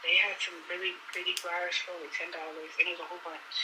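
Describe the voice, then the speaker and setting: A woman's voice: fairly low pitch, level tone, racing. A woman on a video call chatting offhandedly with her aunt. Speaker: vlogger's niece on a video call. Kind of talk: casual video call chat. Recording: video call audio with noise.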